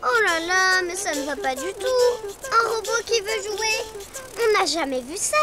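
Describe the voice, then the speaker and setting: An actor voicing a small, chatty, bossy and playful ladybird in a preschool cartoon, with expressive childlike exclamations. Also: extremely high pitch, moderate pace, over a noisy crowd. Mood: bossy, indignant. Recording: music under the voice.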